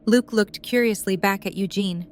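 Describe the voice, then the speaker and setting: A woman's voice: medium pitch, normal speed. A woman reading scripted story narration as the narrator of an audio drama.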